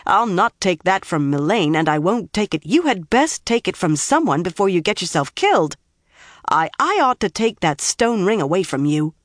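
A woman's voice: low-pitched, brisk, hardly any pauses, no room sound, clean studio sound, lightly acted. A woman reading an audiobook, voicing a proud village wise woman who argues indignantly and tries to sound authoritative.

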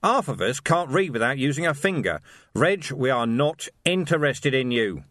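A man's voice: medium pitch, big pitch swings, moderate pace, fairly bright tone, no echo, pitched above the performer's own voice. A man voicing a weary, dry-witted watch commander, patient and wry, in a storybook reading.

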